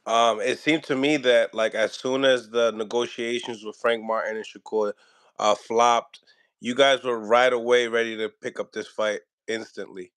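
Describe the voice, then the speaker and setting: A man interviewing a boxing trainer asks his questions in a smooth, mid-pitched voice. The sound is dry, and he phrases things loosely, with many fillers. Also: fairly even intonation, normal speed, a bit bright, no echo. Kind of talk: casual interview.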